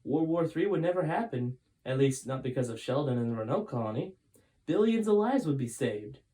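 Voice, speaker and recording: A courteous older man, played by a male reader in a storybook, pitched higher. He is frank and cautious, and speaks in a measured, emphatic way. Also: animated, warm tone, close to an everyday voice. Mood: grave, frank.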